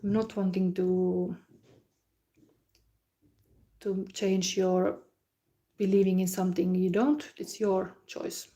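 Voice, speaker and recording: A woman with a Finnish accent, a non-native English speaker, giving a calm, unhurried psychic reading and chatting loosely with viewers, using many fillers. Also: medium pitch. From Finland. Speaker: psychic card reader. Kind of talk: live psychic reading and comment chat.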